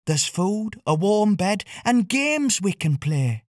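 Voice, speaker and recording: Kind storybook helper, lifted higher, soft and coaxing, dry close sound, as a narrator puts her on. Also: sing-song, slow pace, no room sound. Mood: heartfelt.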